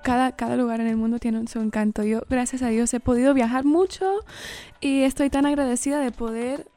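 Earnest, higher-voiced young woman, American-tinged Spanish. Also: brisk, dark tone. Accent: Spanglish-tinged Spanish with an American English influence. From California, United States. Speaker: singer-songwriter being interviewed. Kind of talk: conversational interview answers.

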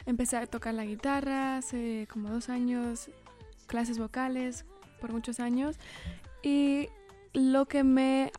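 A woman's voice: on the high side, fairly even intonation, takes it slowly, warm tone, soft background music. A young woman from California speaking Spanglish-tinged Spanish with an American English influence, giving earnest, reflective answers as a singer-songwriter in an interview. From California, United States.

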